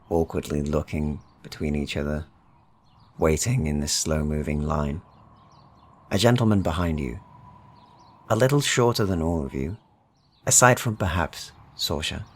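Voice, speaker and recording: A man narrating a dark fantasy game as game master, in measured, deliberate phrases with dramatic pauses. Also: extremely low pitch. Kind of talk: atmospheric fantasy storytelling narration.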